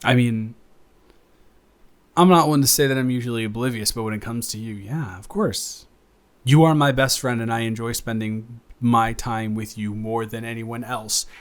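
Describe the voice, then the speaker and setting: A man's voice: mid-range voice, normal speed, clear, resonant tone, lightly acted. Man acting a hesitant, affectionate male roommate. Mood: fond, sincere. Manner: hesitant, rambling, stammering. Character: shy, affectionate male roommate confessing to best friend. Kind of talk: improvised intimate audio roleplay.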